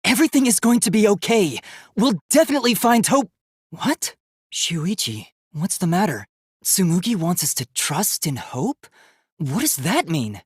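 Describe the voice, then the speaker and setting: Casting: idealistic young robot for a dramatic game; youthful, faintly husky, formal and emphatic, very animated pitch. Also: male voice, normal speed, dry recording, clean studio sound, full character voice. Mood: confused, startled. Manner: earnest, formal, emphatic delivery. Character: earnest, determined young male robot, idealistic and emotional. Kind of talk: dramatic video game voice acting.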